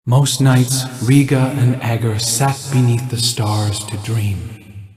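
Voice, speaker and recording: A man playing a wistful, resentful brother in a video game, narrating fables to his sister in a measured, brooding way. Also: medium pitch, fairly even intonation, lightly acted. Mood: longing. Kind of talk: slow storytelling, game voice acting. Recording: echoey hall reverb over music.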